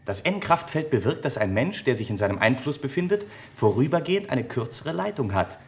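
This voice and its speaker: A man's voice: mid-range voice, big pitch swings, a little fast. An actor playing an earnest, precise male scientist explaining a theory in a science fiction radio play. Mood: emphatic, explanatory. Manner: precise, lecturing, emphatic. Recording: radio play with clear background noise.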